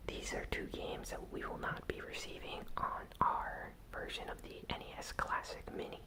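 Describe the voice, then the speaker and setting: A host whispering a news recap in ASMR style, softly and with gentle pauses. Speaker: host of a gaming news channel.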